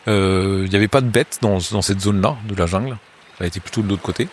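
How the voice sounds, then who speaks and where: A man running a tabletop horror game as game master, narrating scenes to a player in a halting way with many hesitations. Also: on the low side, slight background noise. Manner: hesitant, many filler sounds and restarts; second-person scene narration.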